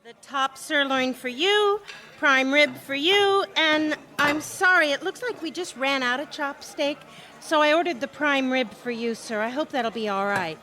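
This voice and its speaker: Bright, chirpy waitress. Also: female voice, high-pitched, a little slow, soft background music. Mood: obliging. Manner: chirpy, polite service patter. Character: friendly, efficient female diner waitress. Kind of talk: radio drama acting.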